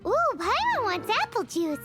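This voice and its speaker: A woman voicing a tiny, excitable fairy companion with a squeaky childlike voice and an eager, exclamatory manner in a video game. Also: measured pace, over music, heavily acted. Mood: chirpy, eager. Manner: exclamatory, refers to herself in third person. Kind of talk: video game character dialogue.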